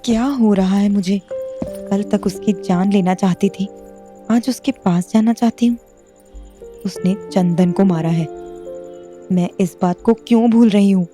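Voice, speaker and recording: A woman's voice: mid-range voice, a little slow, pauses often, warm tone, soft background music, natural voice. A woman voicing an anxious, remorseful young wife in an audio drama, talking in a worried, pleading way. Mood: troubled.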